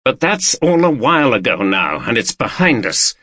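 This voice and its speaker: A man's voice: mid-range voice, moderate pace, no room sound. A man playing a tired, soothing partner. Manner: calm, reassuring, firm. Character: weary, soothing man with a past temper.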